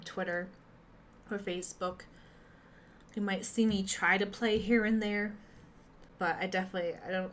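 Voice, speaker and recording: Young woman, stuffy and nasal, casual and self-mocking, middle pitch, webcam sound with faint room noise. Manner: chatty, candid, laughs at herself. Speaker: gamer and streamer. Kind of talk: casual chat to viewers.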